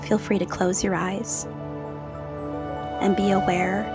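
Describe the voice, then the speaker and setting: Soft-spoken woman guiding meditation over music. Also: mid-range voice, flat delivery. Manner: slow, soothing, soft-spoken with gentle pauses. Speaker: meditation guide. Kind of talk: guided meditation. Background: new-age music.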